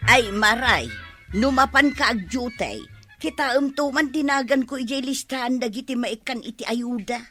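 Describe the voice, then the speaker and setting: A woman's voice: a little high, normal speed, fairly bright tone, faint music behind, lightly acted. A woman with an Ilocano Filipino accent playing a pushy, anxious village woman in a radio comedy drama. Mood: pushy, eager.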